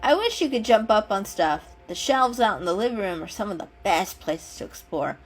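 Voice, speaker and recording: A woman's voice: medium pitch, lively intonation, normal speed. A woman voicing a bold, mischievous young male kitten in a storybook reading, eager and playful. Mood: eager, scheming. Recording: music under the voice.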